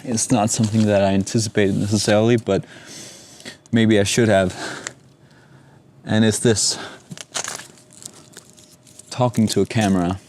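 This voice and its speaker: A man's voice: lowish voice, level tone, measured pace, long pauses, darkish tone, a little hiss or hum. A young man from Denmark, speaking English, talks candidly and with self-deprecating humor to camera in a scripted vlog. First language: Danish. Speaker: YouTuber.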